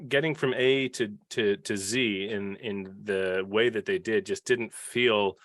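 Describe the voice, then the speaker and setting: A man talking casually and opinionatedly on a podcast panel, rambling into asides and animated retellings. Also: lowish voice, slow pace, no room sound, clean studio sound.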